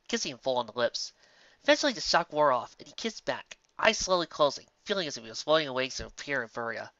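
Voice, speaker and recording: Storybook narrator: expressive scripted reading, bright tone, close dry room, somewhat dull audio. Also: mid-range voice, dry recording. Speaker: narrator, fan fiction reader.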